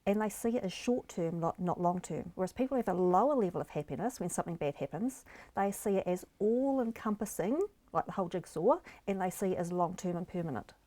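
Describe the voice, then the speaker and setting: A woman's voice: on the low side, fast talker, dark tone, dry recording. A middle-aged woman with a New Zealand accent, a guest explaining happiness and resilience in an earnest, encouraging way. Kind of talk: interview conversation.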